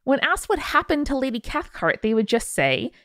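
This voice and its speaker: A woman's voice: high-pitched, big pitch swings, moderate pace, a bit bright, dry recording, clean studio sound. A woman hosting a podcast, reading out listener mail in a casual, irreverent way with wry asides. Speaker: podcast host.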